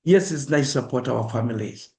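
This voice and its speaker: A man's voice: medium pitch, lively intonation, fairly quick, darkish tone. A middle-aged man with a Kenyan accent giving an earnest, direct public talk and acting out examples in the first person. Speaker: speaker at a diaspora awareness event. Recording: echoey room.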